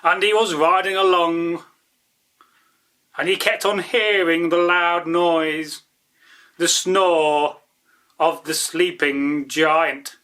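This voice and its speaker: A man telling an old folktale as a storyteller, in a deliberate, emphatic, dramatic way that builds by repeating phrases. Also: on the high side, fairly even intonation, bright, forceful tone. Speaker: storyteller, channel host.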